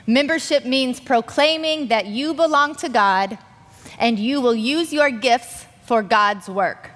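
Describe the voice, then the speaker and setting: Slow, solemn woman leading prayer. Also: on the high side, fairly bright tone. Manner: measured, solemn recitation. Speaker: pastor. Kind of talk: liturgy and prayer. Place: large church sanctuary. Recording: church hall reverb with congregation.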